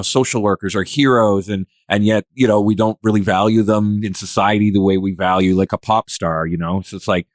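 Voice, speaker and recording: Guy with a really deep voice hosting a casual discussion, kinda rambly, no room echo. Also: clean studio sound. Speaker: podcast host.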